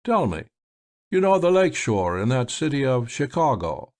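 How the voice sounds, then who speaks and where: A man's voice: mid-range voice, very animated, normal speed, a little mellow. A man voicing a sly, flamboyant blind male stage magician with grand, theatrical exclamations.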